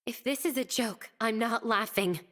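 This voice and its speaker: A woman's voice: a little high, normal speed, lightly acted. A woman playing a sardonic, skeptical teenage girl in a video game, casual and sarcastic. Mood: sarcastic, annoyed.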